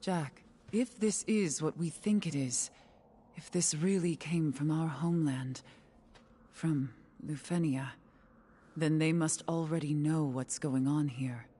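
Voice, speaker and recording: Earnest worried young sidekick in a game, husky n mellow voice. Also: mid-range voice, takes it slowly. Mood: concerned. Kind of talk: video game cutscene acting.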